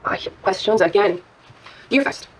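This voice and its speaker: A woman's voice: mid-range voice, lively intonation, measured pace, background noise, close to an everyday voice. A woman playing a playful, seductive and probing character in naturalistic drama dialogue, teasing as she talks.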